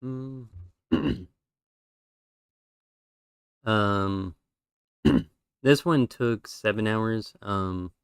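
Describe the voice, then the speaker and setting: A man's voice: fairly low pitch, drawn-out words, pauses often, smooth, dry recording, studio quality. A man streaming, chatting casually and rambling through his drawings while losing his voice. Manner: relaxed, rambling with fillers.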